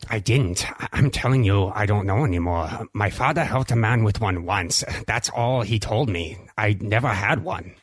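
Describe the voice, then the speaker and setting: A man voicing a cagey alien shopkeeper and slave owner in a sci-fi audio drama, rough-voiced and theatrical, pleading and indignant. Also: fairly low pitch, moderate pace, few pauses, no echo. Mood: frightened pleading. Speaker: store clerk. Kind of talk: hard-boiled sci-fi audio drama acting.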